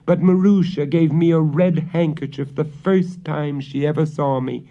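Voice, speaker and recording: A man's voice: a little high, fairly even intonation, normal speed, mellow, highs cut off, voice pushed up, close to an everyday voice. A man voicing a resentful, defiant servant girl in a storybook tale.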